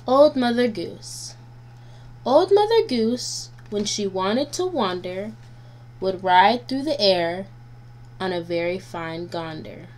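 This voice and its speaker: A woman reading nursery rhymes aloud for children as a guest reader, in a deliberate, sing-song way. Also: medium pitch, animated, a little mellow. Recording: noisy home recording with hum.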